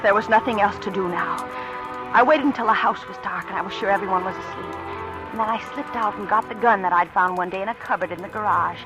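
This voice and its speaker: A woman's voice: mid-range voice, quick pace, close to an everyday voice, poor audio. An actress playing an anxious young woman with a guilty secret, tense and hesitant, in a 1940s radio drama style. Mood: brooding, guilty. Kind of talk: 1940s radio acting, with confessional first-person narration. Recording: old radio drama recording, music underneath.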